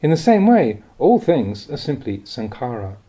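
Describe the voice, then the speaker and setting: A man reading spiritual teachings as scripted narration, in a measured, instructive way with deliberate phrasing. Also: medium pitch, very animated, warm tone, breathy edge. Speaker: reader of Buddhist teachings.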